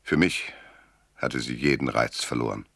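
Hard-boiled detective, bottomless low pitch, crackly fry, cynical, laconic, world-weary, noir radio play. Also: male voice, moderate pace, fairly bright tone, no room sound, studio quality, full character voice. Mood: cool, detached. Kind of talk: first-person noir narration and dialogue in radio drama. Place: dry studio.

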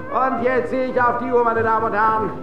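A man's voice: very high-pitched, exaggerated voice. An actor playing a gleeful, pushy master of ceremonies at a dance marathon in a radio drama, theatrical and coaxing as he works the crowd. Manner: theatrical, crowd-working, drawn-out exclamations, coaxing questions. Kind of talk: radio drama acting as show announcer. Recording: echoey, dull sound under loud brass music.

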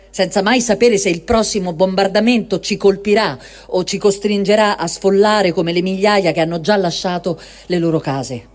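A performer reading the diary of a war-weary, sleepless man, in short, deliberate, separated phrases. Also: mid-range voice, darkish tone, lightly acted.

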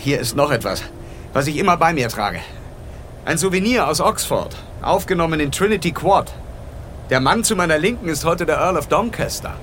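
An actor playing a wealthy, secretive, self-mythologizing gentleman in a radio drama, speaking with courteous, old-fashioned familiarity. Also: a little high, lively intonation, normal speed, slight background noise. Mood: confiding. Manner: polished, boastful, addresses listener with old-fashioned familiarity. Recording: studio radio play with music underneath.

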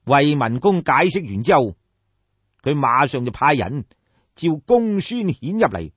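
A man's voice: mid-range voice, very animated, a little slow, breathy edge, dry recording, muffled recording. A man with a Cantonese accent narrating historical fiction as an audiobook storyteller.

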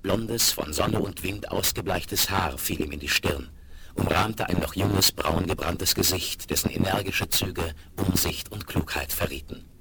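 A deep-voiced man with a gravelly edge narrates a dramatic adventure story. The old vinyl recording is very noisy. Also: measured pace. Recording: very noisy 1970s vinyl rip.

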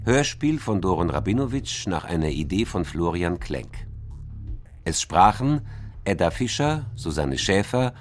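A man's voice: sits low, sing-song, unhurried, faint music behind. A man reading the credits of a radio play as a scripted announcement.

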